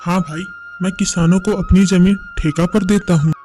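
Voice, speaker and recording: A man voicing a greedy, boastful rich village landowner in an animated moral story, with a bossy, self-satisfied manner. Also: high voice, moderate pace, faint music behind, lightly acted. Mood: businesslike.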